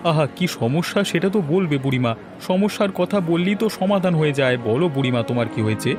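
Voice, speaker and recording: High-pitched friendly village butcher played by a man. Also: expressive, quick pace, music in the background, lightly acted. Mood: concerned, coaxing. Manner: familiar, coaxing, reassuring. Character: friendly male village meat seller, helpful and crafty. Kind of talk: scripted cartoon story acting.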